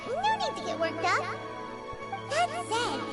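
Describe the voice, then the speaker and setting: A woman voicing a tiny childish, boastful, excitable fairy-like guide in an anime video game, with a theatrical way of talking. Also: very high voice, takes it slowly, exaggerated voice. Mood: placating. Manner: refers to self in third person, theatrical. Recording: music playing behind the voice.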